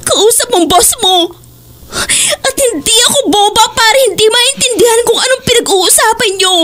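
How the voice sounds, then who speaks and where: Actress for heartbroken girlfriend, Filipino accent, extremely high pitch, accusing and melodramatic audio-drama delivery. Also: normal speed, a bit bright, soft background music. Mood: tearful accusation. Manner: tearful, emphatic, confrontational.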